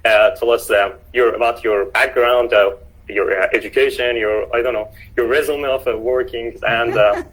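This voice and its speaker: A man's voice: medium pitch, steady tone, moderate pace, a bit bright, poor audio. A man hosting a casual online interview, speaking hesitantly with many fillers and restarts. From Canada. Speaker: host.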